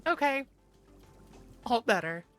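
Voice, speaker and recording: A woman's voice: a little high, sing-song, slow pace, a bit bright. A woman livestreamer chatting casually and playfully with her viewers, teasing them as she goes. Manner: playful, teasing, reacts animatedly to chat. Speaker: streamer, virtual YouTuber. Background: background music.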